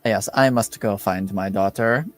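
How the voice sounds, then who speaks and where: A man playing a grave, protective father who speaks formally and haltingly in a tabletop roleplaying game. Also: on the low side, pitched below the performer's own voice, natural voice. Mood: somber, courteous. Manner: halting, courteous, measured.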